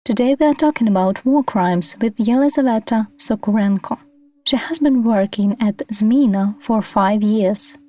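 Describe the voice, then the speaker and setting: A woman's voice: on the high side, mellow. A woman hosting a podcast, reading scripted documentary narration. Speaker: podcast host.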